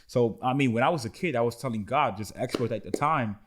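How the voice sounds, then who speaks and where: A man's voice: mid-range voice, fairly quick, a little hiss or hum. A man in his twenties, from the Dominican Republic, telling his life story in an earnest, slangy way as a podcast guest. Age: 20s. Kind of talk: casual interview chat.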